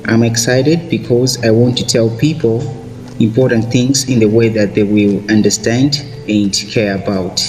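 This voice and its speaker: A man in his twenties from Malawi, giving a measured, earnest self-introduction as a student reporter. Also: mid-range voice, fairly even intonation, low-quality recording. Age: 20s. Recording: music bed underneath.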